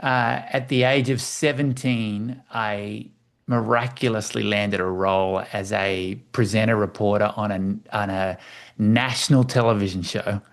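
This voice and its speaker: Australian man, interview guest, easygoing storytelling in a creaky, gravelly voice. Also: fairly low pitch, fairly even intonation, measured pace. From Tasmania, Australia. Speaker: interview guest, church staffing executive. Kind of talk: casual conversational storytelling. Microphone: video call.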